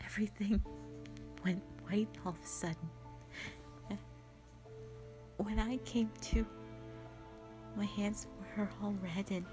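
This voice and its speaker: A woman playing a traumatised, anguished young woman in an audio drama monologue, speaking haltingly and often hushed. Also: medium pitch, close to an everyday voice. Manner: halting, hushed, breaking into whispers. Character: traumatised young woman confessing a harrowing past, anguished, broken. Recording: music behind.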